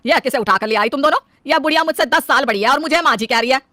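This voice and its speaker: Woman doing a naggy bossy saas in a family story, high pitched and sharp, scolds everyone. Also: fast, no room sound. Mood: indignant. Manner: scolding, demanding. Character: bossy, fault-finding, stingy middle-aged Indian mother-in-law.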